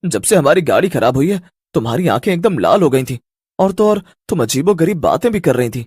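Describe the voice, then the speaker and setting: Husky, fretful cartoon husband, acted by a man. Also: on the high side, very animated, brisk, a little mellow, no echo, clean studio sound. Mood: resigned.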